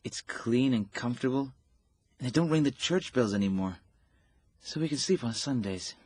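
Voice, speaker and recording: A man's voice: medium pitch, lively intonation, moderate pace, a little mellow, husky, no room sound. A man playing a cheeky, talkative young wounded soldier in a period radio drama. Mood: cheerful, polite.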